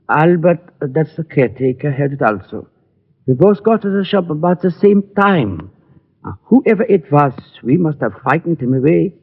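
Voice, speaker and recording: An actor playing a nervous, grateful immigrant gunmaker in 1940s radio style. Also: male voice, a little high, gravelly, exaggerated voice. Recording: tinny old radio recording, long reverb.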